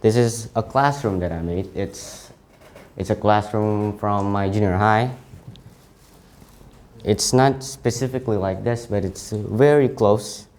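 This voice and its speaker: A man's voice: lowish voice, a little mellow. A young man in his late teens, a native Indonesian speaker with an Indonesian accent, giving an informal presentation of his CV and often stopping to correct himself. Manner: halting, frequent self-corrections.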